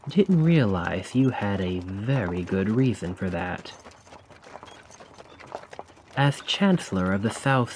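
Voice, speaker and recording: Man acting a slow, sly, vengeful carriage driver. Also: lowish voice, darkish tone, slight background noise. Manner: slow, sardonic, conspiratorial, taunting. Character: vengeful male carriage driver, sly, mocking, coldly controlled. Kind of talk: ASMR roleplay audio drama monologue.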